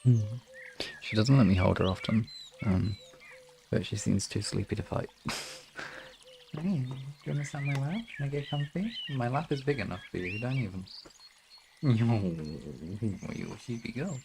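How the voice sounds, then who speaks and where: Low, creaky young man streaming. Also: animated, normal speed, long pauses, background noise. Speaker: live streamer. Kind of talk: casual livestream chat.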